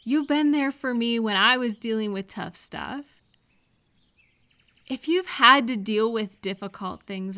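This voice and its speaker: A woman playing a kind, caring friend in a comfort roleplay audio, speaking gently and reassuringly with soft questions. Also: a little high. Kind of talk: comfort audio roleplay, one-sided conversation.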